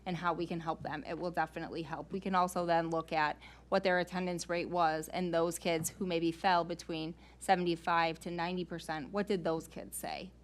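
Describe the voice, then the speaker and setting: A woman's voice: lowish voice, flat delivery, brisk. A woman speaking as a school staff member in an informal, explanatory meeting presentation, earnest and given to rhetorical questions.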